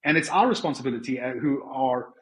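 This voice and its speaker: A man's voice: medium pitch, animated, quick pace, a bit bright. A man with a South African accent speaks conversationally as an interview guest, earnest and explanatory, often rephrasing as he goes. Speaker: podcast guest.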